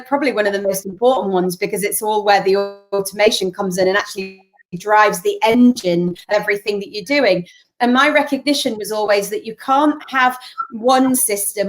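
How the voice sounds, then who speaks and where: A woman's voice: medium pitch, rough recording. A woman hosting an interview, asking long rambling questions with many restarts. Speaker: interview host, business school founder. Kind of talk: casual interview talk.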